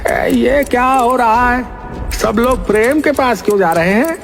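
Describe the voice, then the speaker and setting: A man voicing an excitable, cunning, gossip-loving village barber in a cartoon, with drawn-out theatrical delivery. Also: very high-pitched, gravelly, faint music behind, exaggerated voice. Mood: dismayed, whiny. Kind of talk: Hindi cartoon moral-story acting.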